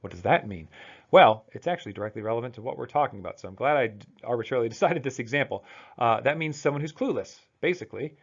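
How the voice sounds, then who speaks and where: An American man lectures to students in a relaxed, conversational manner, pausing and restarting as he thinks aloud. His voice has a gravelly, creaky edge, and the recording sounds dull and lo-fi. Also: medium pitch. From United States. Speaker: college instructor.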